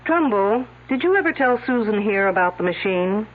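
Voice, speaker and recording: A shrewd male detective in an old radio mystery, accusing and methodical. His voice sits high and swings widely, through a tinny, narrow broadcast sound. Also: very animated, moderate pace. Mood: probing, pointed. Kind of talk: 1970s radio drama acting. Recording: muffled 1970s radio broadcast, phone-like.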